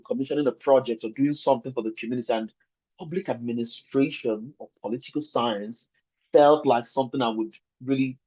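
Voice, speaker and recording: A man's voice: medium pitch, dark tone, slightly rough. A man in his thirties with a Nigerian accent, giving an informal webinar talk about himself, conversational and rephrasing for emphasis over an online call. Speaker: webinar speaker. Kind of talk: informal presentation telling a personal story. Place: online video call with screen sharing. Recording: muffled lo-fi online call audio.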